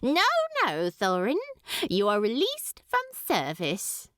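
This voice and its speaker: A woman performs a teasing older hobbit lady from a storybook. She is playful yet in charge, speaking slowly with hugely animated pitch. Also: no echo, studio quality, full character voice. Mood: amused, teasing.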